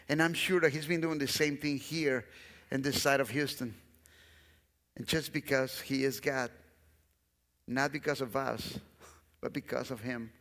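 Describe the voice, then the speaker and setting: A man's voice: mid-range voice, creaky, a bit bright. Guest pastor, Guatemalan man, middle-aged, Spanish-accented English, mid-range voice, earnest and repetitive, in a reverberant sanctuary. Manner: earnest, repeats phrases, walks the stage. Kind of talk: sermon. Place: large church stage. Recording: long church hall reverb.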